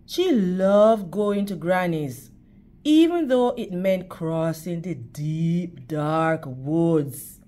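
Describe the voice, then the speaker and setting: A woman's voice: lowish voice, animated, very slow, warm tone. A woman reading a children's story aloud in a teacherly way, addressing young listeners directly. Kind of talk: animated storytime narration with questions to children.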